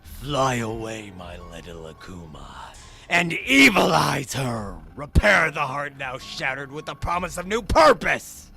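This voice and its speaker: A man's voice: mid-range voice, very animated, unhurried, gravelly, bright, forceful tone, soft background music, heavily acted. A man playing a sinister, scheming supervillain in an audio drama, with a pompous, menacing delivery. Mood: triumphant, commanding. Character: sinister, scheming male supervillain, grandiose and theatrical. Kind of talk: fan audio drama acting.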